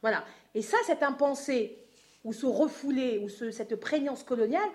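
A woman speaking off the cuff in a public discussion, emphatic and building her argument haltingly as she goes. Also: high voice, a little mellow. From Algerian descent, living in France. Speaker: panel speaker at a feminist festival. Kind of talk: impassioned spontaneous public discussion.

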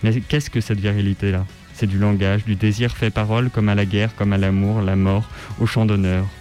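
A man reading a written text aloud on the radio in a measured, articulate, declamatory way. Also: on the low side, flat delivery, darkish tone, faint music behind. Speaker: radio reader. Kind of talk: scripted literary reading aloud.

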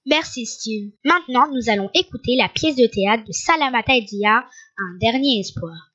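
A woman's voice: fairly high pitch, animated, normal speed, sharp tone. A young girl in her early teens presenting a school radio show from a script. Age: child, early teens. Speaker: student radio host. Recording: dull lo-fi school recording.

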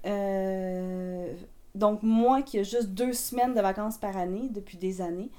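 A woman with a Québécois accent answers questions in a relaxed, halting way, often restarting her sentences. Her voice is warm and mellow. She is eight months pregnant. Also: mid-range voice. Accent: Québécois French. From Quebec. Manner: hesitant, many fillers and restarts. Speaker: interviewee. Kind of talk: casual interview chat.

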